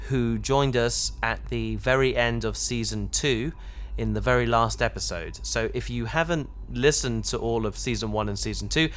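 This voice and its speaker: A man's voice: mid-range voice, a bit bright. A man hosting a podcast, chatting casually at home as someone who makes the show. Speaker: podcast host, show creator. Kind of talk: casual chat. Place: in his house.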